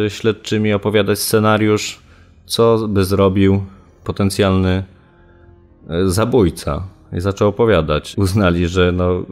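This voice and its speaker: A man with a deep voice gives an unscripted interview as a reporter. He speaks haltingly, searching for words, over faint music. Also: darkish tone. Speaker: local media reporter, interviewee. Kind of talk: spontaneous interview recollection.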